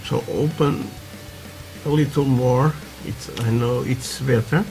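A man from Portugal with a Portuguese accent, chatting haltingly through a hobby tutorial with many fillers, while building at a workbench. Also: medium pitch, steady tone, vocal fry. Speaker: scale model builder. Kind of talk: casual hobby tutorial talk. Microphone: camera over work desk, hands only.

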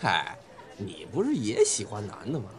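A man's voice: on the low side, wildly swooping pitch, moderate pace, soft background music. A young man acting a cheeky, gossipy male roommate in a radio drama. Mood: teasing. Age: young adult.

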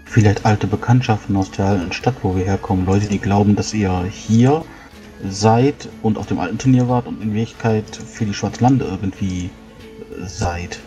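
A man with a low, dark voice plays a tabletop role-playing game, talking casually in character. The sound is slightly dull and muffled. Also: moderate pace, soft background music.

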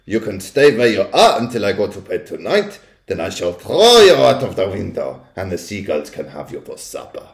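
A man's voice: fairly low pitch, sing-song, creaky, echoey room. Man voicing an imperious, menacing storybook witch villain. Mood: gloating menace. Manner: snapping, drawn-out sinister delivery.

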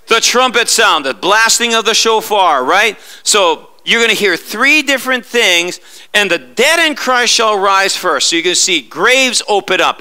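Bright, high-voiced middle-aged man preaching. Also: smooth. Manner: animated, gesturing, many restarts and filler stammers. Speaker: pastor. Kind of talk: sermon. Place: church stage, indoors.

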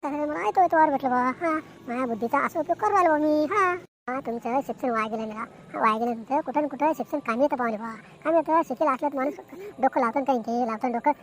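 Woman as a boastful village guy, rural maharashtrian accent, high fast cartoon voice, repeats himself emphatically. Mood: chatty, animated. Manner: emphatic, repetitive. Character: chatty, boastful village man. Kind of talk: amateur cartoon comedy. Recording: noticeable background noise.

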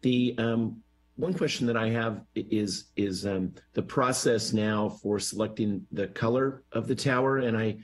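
Male vice chair, somewhat low, hoarse voice, hesitant. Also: measured pace. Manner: polite, hesitant. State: croaky, recovering voice. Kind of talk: questions at a public meeting. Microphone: video call.

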